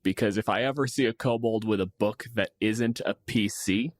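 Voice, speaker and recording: A man's voice: on the low side, moderate pace, no echo. A man co-hosting a podcast in casual discussion of tabletop games, opinionated and freely swearing. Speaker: podcast co-host.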